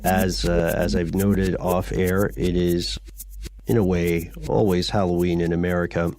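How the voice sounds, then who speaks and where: A man co-hosting a podcast in a conversational way, with measured, deliberate phrasing, presenting and reading listener letters. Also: low-pitched, little pitch movement, darkish tone, faint music behind. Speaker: podcast co-host.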